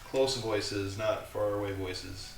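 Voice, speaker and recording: Man with a low voice hosting a live workbench build, thinking aloud with halting restarts, heard from a distant condenser mic in a roomy space over music. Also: fairly even intonation, vocal fry. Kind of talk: casual live workshop commentary. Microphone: condenser mic set away from him. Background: music from a streaming app.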